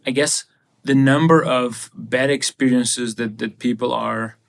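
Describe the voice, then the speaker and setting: A man's voice: medium pitch, fairly even intonation, measured pace, creaky. A man hosting a podcast interview, asking questions hesitantly and searching for words. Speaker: podcast host. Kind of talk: interview conversation.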